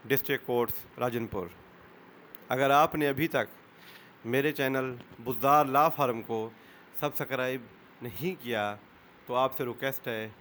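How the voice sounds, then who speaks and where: A man with a Pakistani accent, a lawyer presenting an explanatory lesson in a deliberate, didactic manner, repeating key phrases. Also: medium pitch, a bit bright, slight background noise. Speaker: lawyer giving law lessons as channel host. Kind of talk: explanatory lecture.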